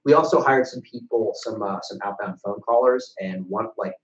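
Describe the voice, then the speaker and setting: A man's voice: fairly low pitch, moderate pace. A young man with an American accent chatting casually about business as a webcast co-host, blunt and casually profane. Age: young adult. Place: small bright office, indoors. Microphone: desk microphone on a stand. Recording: echoey room, dull sound.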